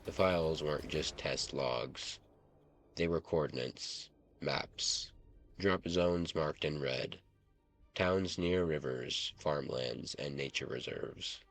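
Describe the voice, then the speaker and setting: Casting: male narrator for suspense fiction, extremely low and creaky, reading slowly over faint music. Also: fairly bright tone, no room sound. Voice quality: very deep, gravelly. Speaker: storyteller. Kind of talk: scripted story narration.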